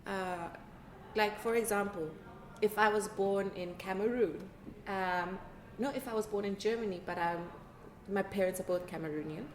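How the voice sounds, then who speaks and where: A woman's voice: mid-range voice, moderate pace. A woman, a guest artist, talking frankly in casual conversation and laughing often. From South Africa. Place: art exhibition hall, indoors. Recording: roomy hall with faint noise.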